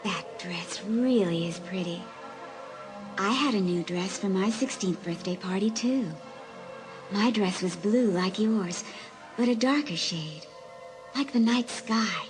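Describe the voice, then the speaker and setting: Woman acting a wistful teen girl ghost, slow n breathy, half whispering, audiobook drama with music under it. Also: mid-range voice, lightly acted. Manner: slow, hushed, earnest. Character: ghost of a sixteen-year-old girl in a mirror, pleading, wistful.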